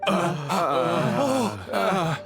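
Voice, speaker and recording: A fearful elderly male noble from a video game. He stammers slowly in a fairly high voice that swings in pitch. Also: animated, fairly bright tone, faint music behind, heavily acted. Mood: flustered, afraid. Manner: hesitant, stammering.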